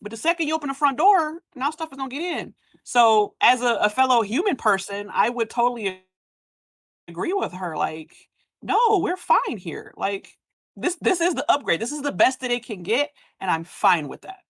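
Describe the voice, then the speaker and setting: A woman in a casual panel discussion, speaking colloquially and acting out imagined remarks in an animated way. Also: on the high side, normal speed, no echo, clean studio sound. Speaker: podcast live event panelist.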